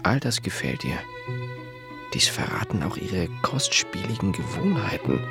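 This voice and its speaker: Jealous, creaky-voiced young clerk. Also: male voice, low voice, big pitch swings, measured pace, full character voice. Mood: brooding. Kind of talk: German radio drama acting. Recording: music behind the voice.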